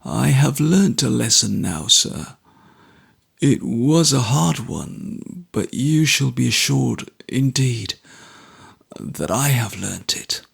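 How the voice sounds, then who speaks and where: Man reading aloud as a weak dying young man, slow faint speech, gravelly. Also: medium pitch, lively intonation, exaggerated voice. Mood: weak, tender.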